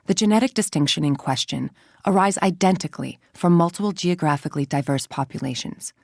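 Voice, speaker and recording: A woman's voice: fairly low pitch, a little fast, creaky, no room sound. Haughty evil lady scientist for a video game, controlled and menacing, really dry close sound. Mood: clinical, stern. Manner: controlled, precise, condescending. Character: cold, menacing woman scientist villain, self-righteous and haughty. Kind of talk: video game voice acting, scripted dialogue and briefings.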